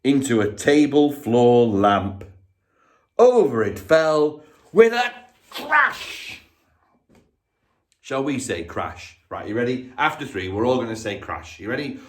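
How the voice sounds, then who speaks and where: A man reading a children's story aloud like a teacher, dramatic and expressive, drawing his young listeners in. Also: medium pitch, slow. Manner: dramatic, inviting listeners to join in.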